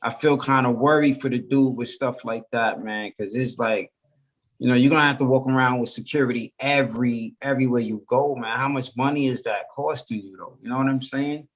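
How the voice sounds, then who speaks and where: A man's voice: mid-range voice, steady tone, fairly quick. A man, a rapper guest from Brooklyn talking loosely in a casual interview, full of fillers. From Brooklyn, New York. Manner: laid-back, loose, full of "you know".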